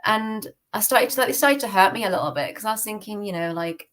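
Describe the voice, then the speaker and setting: A woman's voice: mid-range voice, fast talker, fairly bright tone. A young British woman telling her personal story candidly as an interview guest, in an informal, reflective way peppered with "like" and "you know". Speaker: podcast guest giving testimony.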